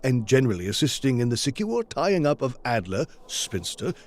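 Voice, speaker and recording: Guy acting as earnest victorian gent, loyal sidekick type, super expressive up and down delivery, audio drama. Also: medium pitch, normal speed, close to an everyday voice. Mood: flustered, amused. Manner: formal, expressive storytelling diction.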